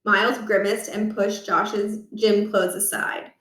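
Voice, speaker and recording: A woman narrating a children's book aloud, with expressive storytelling and deliberate emphasis. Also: mid-range voice. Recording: roomy echo, distant from the mic.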